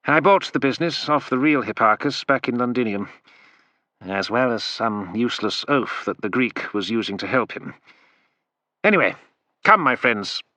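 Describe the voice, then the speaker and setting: A man voicing a smooth, ironic male imperial agent in an audiobook, speaking flatly with dry wit. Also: mid-range voice, moderate pace, no room sound. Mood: wry.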